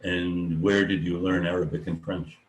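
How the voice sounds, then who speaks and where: A male professor teaching an online class, asking students questions and explaining slowly, often pausing with "um" as he thinks aloud. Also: deep voice, level tone, low-quality recording. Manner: unhurried, thinking aloud with many ums.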